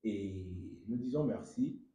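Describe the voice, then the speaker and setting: A man's voice: lowish voice, takes it slowly, dark tone. A young man hosting a casual conversational interview in a very echoey room. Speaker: podcast host.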